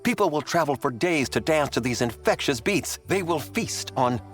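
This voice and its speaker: A man's voice: fairly high pitch, lively intonation, moderate pace, faint music behind, close to an everyday voice. An actor playing an eccentric, self-important male scholar and DJ in a game, with a wordy, grandiose showman's delivery. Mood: grand, indignant. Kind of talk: animated game voice acting.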